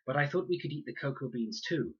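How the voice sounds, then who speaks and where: A man's voice: medium pitch, lively intonation, fairly quick, fairly bright tone, breathy edge, dull audio, pitched above the performer's own voice. A man voicing a caring, honest young girl, an earnest and protective big sister in a storybook. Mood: relieved, earnest.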